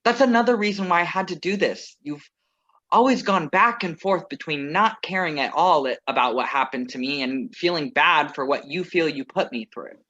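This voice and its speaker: A man's voice: a little high, lively intonation, sharp tone. A man playing a wry, defiant ex-lover. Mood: earnest. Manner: measured, wry, earnest. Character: composed ex-lover crashing a wedding, wry and defiant. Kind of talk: staged play reading over video call. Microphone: video call. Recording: muffled video call audio.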